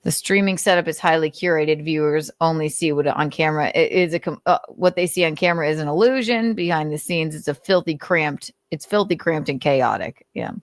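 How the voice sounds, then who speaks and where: A woman's voice: deep voice, a little fast, few pauses, dry recording. A woman host giving commentary while reading aloud, sardonic and exasperated, with casual swearing. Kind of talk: commentary mixed with dramatic reading.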